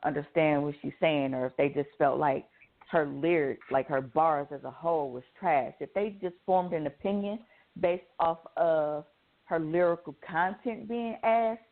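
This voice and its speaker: A woman's voice: deep voice, moderate pace. Blunt American woman on thin phone-like audio. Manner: blunt, profane, slangy. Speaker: radio show co-host. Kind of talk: casual opinionated panel chat.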